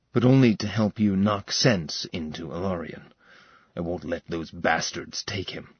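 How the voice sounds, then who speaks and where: A man's voice: sits low, husky, dry recording, lo-fi sound, pitched below the performer's own voice, exaggerated voice. A man doing a grave, weary dragon-shifter.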